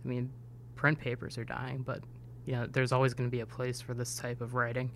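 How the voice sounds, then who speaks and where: A man's voice: medium pitch, completely flat delivery, fairly quick, vocal fry, fairly bright tone, slight background noise. A man in his twenties from upstate New York, a newspaper intern talking casually on a podcast with many ums and uhs. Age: 20s. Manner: hesitant, filler-heavy speech.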